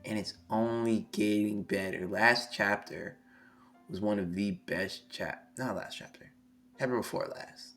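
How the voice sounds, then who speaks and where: A hoarse young man on a live stream, reading a manga chapter aloud and reacting excitably, swearing freely. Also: fairly low pitch, unhurried. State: hoarse, losing his voice. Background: faint music.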